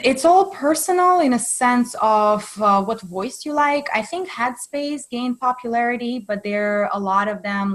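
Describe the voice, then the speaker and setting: A woman's voice: mid-range voice, low-quality recording. Russian-accented woman giving practical advice. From Far East of Russia. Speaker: mindfulness coach, webinar guest. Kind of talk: instructive webinar Q&A.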